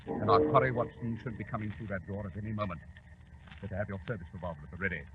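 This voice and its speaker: An actor playing a cool, commanding British detective with a clipped, dramatic delivery in a 1940s radio drama. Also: male voice, on the low side, fairly even intonation, very fast, lightly acted. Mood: tense, commanding. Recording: tinny, noisy 1940s radio recording.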